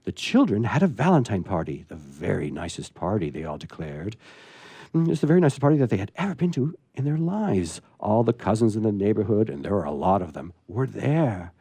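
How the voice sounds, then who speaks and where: A man's voice: on the low side, warm tone, no echo. A man hosting a live radio theatre show, chatting and reading aloud in a rambling, playful, theatrical way.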